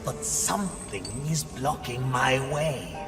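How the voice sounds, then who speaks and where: A man's voice: mid-range voice, very animated, slow pace, long reverb tail. An actor playing a sly, theatrical demon merchant in a video game, talking like a grandiose, wheedling salesman. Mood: scheming. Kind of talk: video game cutscene acting. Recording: background music under the voice.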